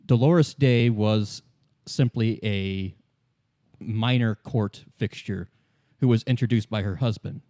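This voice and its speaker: A man narrating as game master, explaining fictional lore in a measured, solemn and deliberate storytelling manner. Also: lowish voice, dark tone, dry recording, studio quality. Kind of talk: improvised lore exposition in a tabletop game.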